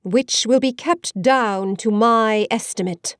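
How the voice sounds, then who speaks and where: An actress plays a severe spinster in an audiobook drama. She speaks very slowly in a dry, close sound, pressing each disapproving word home with unbending harshness. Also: a little high, no room sound. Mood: curt, disapproving.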